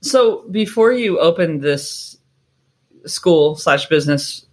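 An upbeat podcast host at a middle pitch, interviewing a guest casually. The host keeps things light with short, friendly affirmations between questions. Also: lively intonation, takes it slowly.

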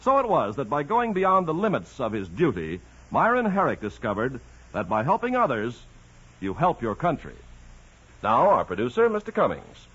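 A man's voice: mid-range voice, wildly swooping pitch, moderate pace, a bit bright, slightly rough. A man reading scripted narration as an announcer on vintage radio. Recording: muffled old radio broadcast.

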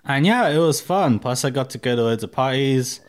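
A man's voice: mid-range voice, animated, brisk. A man in his thirties from Russia with a mixed Russian, American and English accent, chatting candidly and profanely as an interview guest, full of fillers. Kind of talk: casual interview chat.

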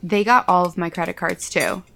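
A woman's voice: lowish voice, normal speed, vocal fry, fairly bright tone. An actress playing a guarded, direct woman in her late thirties in an audio drama, speaking dryly. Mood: guarded, questioning.

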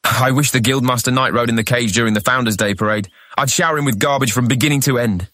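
Man playing a fast-talking, wary, resentful boy. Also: mid-range voice, sharp tone, dry recording, studio quality, natural voice. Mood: bitter. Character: wary, resentful boy, easily goaded friend. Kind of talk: full-cast fantasy audiobook acting.

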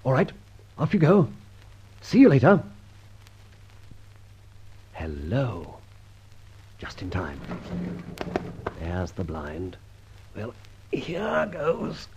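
A man acting a dashing, wry gentleman adventurer in an old radio drama, brisk and commanding. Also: lowish voice, huge pitch swings, noisy background, exaggerated voice. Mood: hushed, alert. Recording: dull vintage radio recording, faint music.

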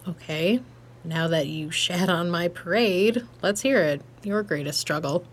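A woman's voice: fairly low pitch, a little slow. A woman playing a blunt, laid-back, sarcastic character in a naturalistic audio drama, with a casual, slangy delivery. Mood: blunt, teasing.

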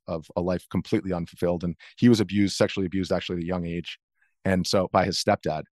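American male interview guest, thirties. Lowish pitch, dry sound, measured and earnest, reasons aloud. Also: no room sound. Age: 30s. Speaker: interview guest, video journalist. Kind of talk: reflective interview account.

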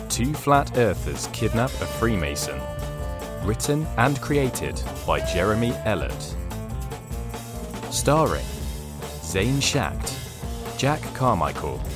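An actor narrating an audio comedy drama as a wry, deliberate, theatrical storyteller. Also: male voice, lowish voice. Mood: announcing.

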